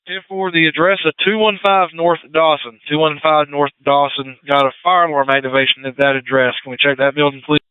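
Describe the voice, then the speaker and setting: Male fire dispatcher, formal and crisp, heard over a narrow two-way radio. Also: on the high side, steady tone, a little fast, hardly any pauses, dry recording. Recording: tinny two-way radio transmission.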